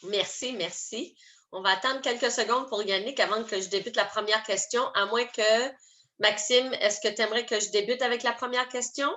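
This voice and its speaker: A woman's voice: a little high, fairly even intonation, moderate pace, pushed and bright. A woman moderating an online panel, friendly and calling on each speaker by name. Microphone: video call.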